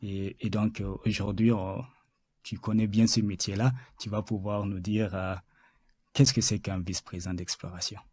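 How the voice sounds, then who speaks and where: A male podcast host with a lowish, mellow voice who feels his way through each question, filling pauses with hesitant sounds and restarting his sentences. The sound is dry and close, with no room around it. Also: little pitch movement, slightly rough, no echo. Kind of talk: interview.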